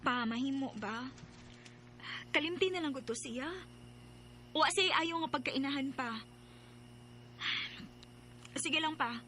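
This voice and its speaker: A woman's voice: high-pitched, slow, long pauses, sharp tone, slight background noise, full character voice. An actress with a Filipino Cebuano accent playing a dutiful, burdened young woman newly working in a bar, in an audio drama. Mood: earnest, tender. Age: young adult. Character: young first-time bar worker, dutiful, burdened daughter. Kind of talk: dramatized radio-style storytelling with narration. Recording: background music under voice.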